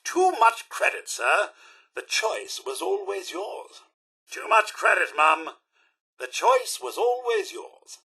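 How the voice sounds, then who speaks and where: A man playing a fussy, posh and polite robot butler in a video game, with a British accent, raspy voice and formal, florid delivery. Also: high-pitched, wildly swooping pitch, slow pace, pushed and bright, heavily acted. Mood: earnest, deferential. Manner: formal, florid, servile diction with dry wit. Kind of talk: theatrical video game character acting.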